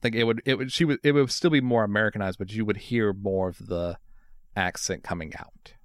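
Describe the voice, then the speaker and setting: A man in casual conversation explaining a detail, pausing and restarting as he goes. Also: lowish voice, steady tone, no echo. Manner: informative, halting with restarts. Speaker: podcast co-host. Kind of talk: casual chat.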